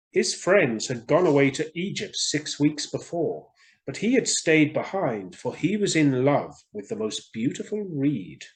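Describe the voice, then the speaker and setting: A man reading stories aloud to children in an expressive way, speaking directly to his young listeners. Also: on the high side, rough recording. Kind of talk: children's story reading, scripted narration.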